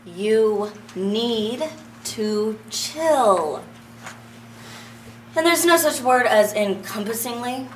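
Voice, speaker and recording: A woman playing a bossy, playful, no-nonsense friend in a script reading, deliberate and commanding. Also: a little high, lively intonation. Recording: echoey room, distant mic, faint noise.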